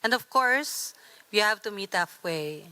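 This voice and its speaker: A woman's voice: medium pitch, lively intonation, measured pace, bright, forceful tone, low-quality recording. A woman with a Filipino accent speaking reflectively and earnestly as a panelist. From Philippines. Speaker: writer on a discussion panel.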